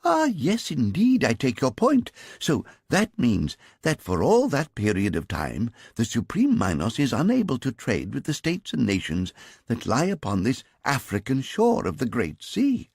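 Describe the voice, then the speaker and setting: A man's voice: medium pitch, wildly swooping pitch, unhurried, gravelly, a little mellow, breathy edge, no room sound, studio quality, voice pushed up, full character voice. A man voicing a sly, gloating, heavyset older male spy with a smug, teasing manner, reading an audiobook. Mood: eager.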